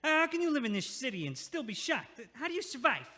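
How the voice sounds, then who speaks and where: An actor in an audio play voicing a pushy, meddling New York night-shift neighbor, blunt and sarcastic. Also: male voice, very high voice, big pitch swings, fast talker, bright, forceful tone, full character voice. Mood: belligerent. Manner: blunt, sarcastic, street-talking. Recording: echoey, long hallway-like reverb.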